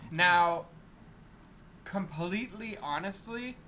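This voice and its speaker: A man's voice: high voice, expressive, slow, sharp tone. A man co-hosting a casual gaming podcast with wry, teasing banter. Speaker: podcast co-host. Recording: noisy amateur podcast recording.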